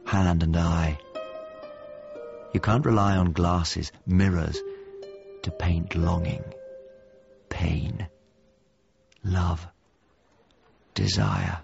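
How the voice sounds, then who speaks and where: A man's voice: low voice, steady tone, drawn-out words, gravelly, pauses often, dull audio, over music, heavily acted. An actor playing a brooding male painter searching for a missing friend in a radio drama, with intimate delivery. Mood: reflective, intense. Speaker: narrator and lead character of a radio drama.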